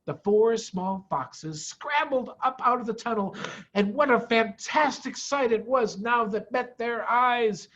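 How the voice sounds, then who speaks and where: A middle-aged man reading a children's story aloud expressively, with deliberate dramatic emphasis. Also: extremely high pitch, fairly bright tone. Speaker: storybook reader, head of school. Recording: dull, muffled lo-fi audio.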